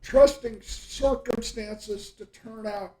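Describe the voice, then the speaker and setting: A man's voice: high voice, fairly even intonation, slow, warm tone, slight background noise. A middle-aged man in casual conversation, reminiscing and telling stories in a rambling way, often trailing off mid-thought. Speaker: podcast host and storyteller.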